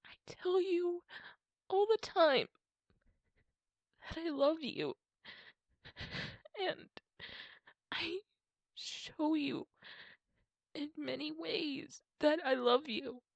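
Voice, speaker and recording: A woman playing a heartbroken young girlfriend in an ASMR roleplay, crying and pleading in halting phrases, often slipping into a breathy whisper. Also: high voice, no echo, full character voice, rough recording. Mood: hurt, tearful. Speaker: roleplay voice actor. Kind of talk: intimate ASMR roleplay acting.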